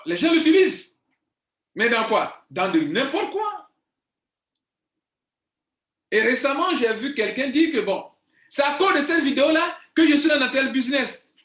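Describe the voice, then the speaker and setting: Insistent man, high voice. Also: very animated, a little slow, pauses often, fairly bright tone. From Ivory Coast. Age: 40s. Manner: insistent, emphatic, repeats himself. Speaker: online business coach. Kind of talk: video monologue to viewers. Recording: echoey room.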